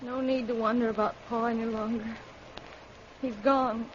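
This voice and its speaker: A woman's voice: a little high, steady tone, moderate pace, lightly acted. A woman acting in an old-time radio drama as a grieving, defiant country girl with accusing, folksy speech. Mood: numb, grieving. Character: defiant, grieving backwoods girl, skinny daughter. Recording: muffled, noisy old radio recording.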